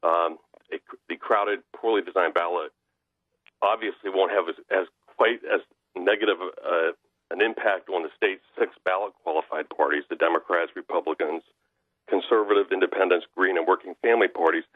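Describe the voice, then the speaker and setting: An American man giving political commentary as a radio guest over the telephone, halting and often restarting his sentences. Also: low voice, no echo. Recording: narrow tinny phone line.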